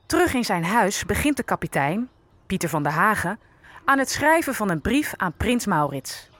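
Woman narrator with lively intonation. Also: mid-range voice, moderate pace, no room sound. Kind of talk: scripted storytelling narration.

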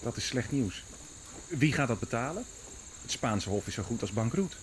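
An actor playing an imperious, suspicious Dutch prince and army commander in a radio play, brusque and challenging. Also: male voice, medium pitch, expressive, normal speed, a little hiss or hum, lightly acted. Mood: grave, concerned.

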